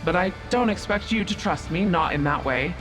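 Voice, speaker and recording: A woman playing a proud, cunning otherworldly female stranger in an audio drama, speaking in a measured, formal, dryly sarcastic manner. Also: lowish voice, lively intonation, close to an everyday voice. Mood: haughty, vengeful. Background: prominent music.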